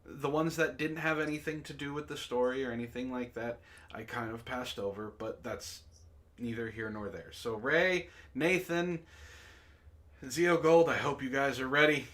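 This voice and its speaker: A man's voice: mid-range voice, steady tone, moderate pace, a bit bright. A man hosting a casual group livestream with informal, sweary banter. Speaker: host.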